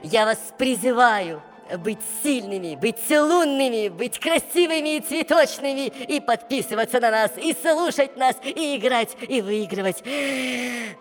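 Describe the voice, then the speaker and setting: A magical fairy enchantress, as a woman puts her on, high and drenched in long reverb. She intones grandly and theatrically, her pitch gliding widely. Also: lively intonation, a little slow, soft background music. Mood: enchanting. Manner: flowery, theatrical incantation.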